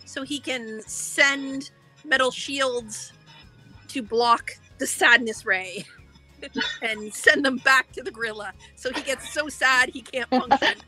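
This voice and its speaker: A middle-aged woman from Canada speaks slowly in a high, bright voice over a video call. She plays along with the game in a playful, deliberate way, with faint music behind. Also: rough recording. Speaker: guest business owner. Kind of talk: casual game chat. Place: living room at home.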